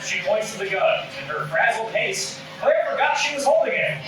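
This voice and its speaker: A man's voice: very high-pitched, sing-song, normal speed, over music. A man reading stage directions as scripted narration at a live table read. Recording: echoey room, distant mic, clear noise.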